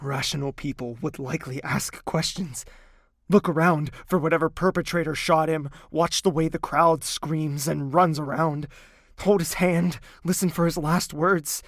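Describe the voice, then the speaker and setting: An actor playing an anguished young prince in a fantasy audio drama, raspy and emotionally intense. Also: male voice, fairly high pitch, fairly even intonation, measured pace, no room sound, clean studio sound. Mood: stunned grief. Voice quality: raspy, strained.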